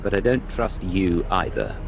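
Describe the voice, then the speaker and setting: A man's voice: deep voice, sing-song, unhurried, vocal fry, background noise, full character voice. An actor voicing a male Imperial agent in a video game, speaking in a dry, guarded, skeptical way. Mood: wary. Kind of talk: video game cutscene acting. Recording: dialogue over loud game score.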